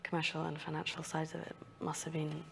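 A woman's voice: low voice, completely flat delivery, brisk, gravelly, fairly bright tone, big-room sound, a little hiss or hum. A woman on a studio panel, thinking aloud with hesitant phrasing and many fillers. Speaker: panel guest. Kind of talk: panel discussion.

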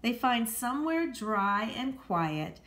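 A woman's voice: a little high, very animated, takes it slowly, a bit bright, roomy sound. An American woman teacher reading aloud to children, explaining and rephrasing facts as she goes. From United States. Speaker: elementary school teacher. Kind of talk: read-aloud lesson for children.

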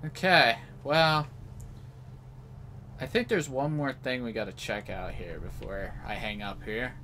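A man's voice: mid-range voice, unhurried, pushed and bright, a little hiss or hum. A man giving casual, offhand live commentary on a video game, half talking to himself as he plays. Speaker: gaming video host. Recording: game music behind the voice. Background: video game music.

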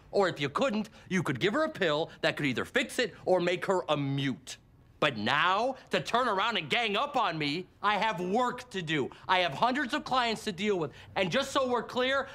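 A man's voice: a little high, natural voice. A man acting in a comedy-drama as a combative, sarcastic American talent agent who rants profanely. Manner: aggressive, sarcastic ranting. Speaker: actor in a comedy-drama series. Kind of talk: scripted screen acting.

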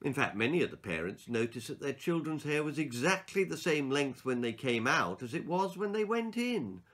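A man in his sixties reading a children's story aloud in an expressive, theatrical and emphatic way. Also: mid-range voice, normal speed. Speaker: author reading his own children's stories. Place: small book-lined room indoors.

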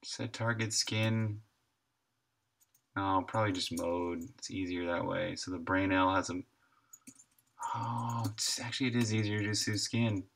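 A man streams his game development, talking casually and thinking out loud. His pace is very unhurried, with gaps as he works through code. The voice sits a little low yet sounds bright and crisp-edged. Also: steady tone. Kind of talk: casual chat while coding, thinking aloud.

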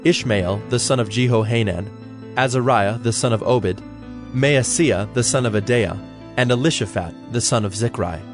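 A male narrator reads scripture in a measured, solemn way for an audio drama. His voice sits at a middle pitch, with faint music playing beneath it.

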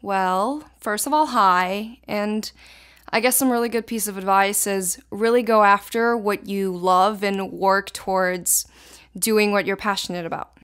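A woman's voice: mid-range voice, steady tone, measured pace, a bit bright, smooth. A teenage girl from Israel, a native Hebrew speaker, answering interview questions in casual, thoughtful sentences. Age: teens.

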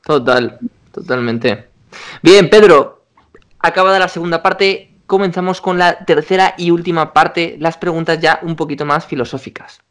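Young guy interviewer, bit high-pitched, brisk, on a webcam. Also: a bit bright. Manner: brisk, short affirmations. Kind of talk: quick-fire interview questions. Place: dim room at home. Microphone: video call webcam.

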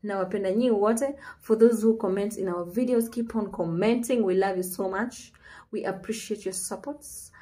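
A woman's voice: medium pitch, normal speed, mellow. A woman in her twenties with a Kenyan accent, chatting casually as a vlogger and switching between Swahili and English. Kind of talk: casual vlog chat mixing Swahili and English. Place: small living room.

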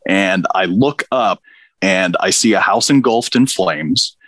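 A man's voice: low voice, takes it slowly, fairly bright tone, no echo. A man from Pakistan, a podcast guest, telling stories in a reflective way with dry humor. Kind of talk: relaxed interview conversation.

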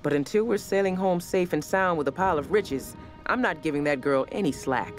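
A woman's voice: low voice, lively intonation, fairly quick. Tough, skeptical female ship's officer for a game. Firm, blunt, no-nonsense, light music underneath. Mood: stern, skeptical. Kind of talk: video game audio letter.

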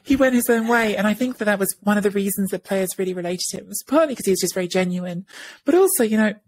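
Husky woman podcaster on a video call. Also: mid-range voice, fast talker, darkish tone. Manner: thoughtful, many restarts and hedging fillers. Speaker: podcast co-host. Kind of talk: casual podcast discussion. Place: home room with bookshelves, indoors. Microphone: earphone headset on video call.

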